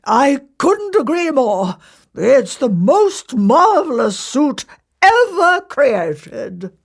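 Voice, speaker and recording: A woman's voice: high-pitched, sing-song, extremely slow, creaky, pitched above the performer's own voice, heavily acted. A woman voicing a pompous, vain male emperor in a fairy tale, with grand, boastful delivery. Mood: smug delight.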